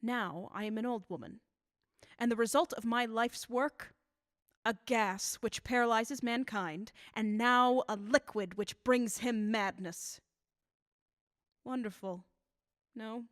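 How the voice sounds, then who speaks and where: A woman acting in a radio drama as a bitter old scientist who invents deadly substances, with deliberate, formal, theatrical delivery. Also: fairly high pitch, no room sound, clean studio sound. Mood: grimly proud.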